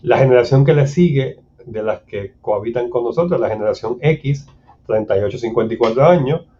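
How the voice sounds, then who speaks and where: A man with a Puerto Rican accent gives a formal, measured lecture as a mediator speaking at a seminar. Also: mid-range voice, mellow, slight background noise. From Puerto Rico. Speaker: conflict mediator giving a seminar talk. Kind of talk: prepared lecture for a recording. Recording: dull, muffled lo-fi recording.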